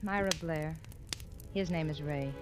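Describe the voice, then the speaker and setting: An American actress playing a bored, bitter, seductive housewife in a 1940s radio thriller, with a sardonic, brazen delivery. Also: female voice, deep voice, unhurried. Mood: bitter, fed up. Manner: sardonic, brazen, world-weary. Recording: old radio broadcast with loud music.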